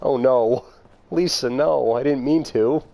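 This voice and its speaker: A man's voice: mid-range voice, a little slow, creaky, mellow. A man streaming a video game, chatting casually with his viewers and calling them by name. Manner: chatty, talks to chat viewers by name, laughs often. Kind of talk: casual live-stream commentary.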